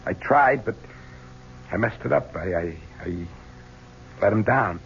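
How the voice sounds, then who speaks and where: A man's voice: lowish voice, expressive, unhurried. An actor playing a bitter, weary man out on bail after a murder. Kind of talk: 1970s radio drama acting. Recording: dull old radio recording with faint hiss.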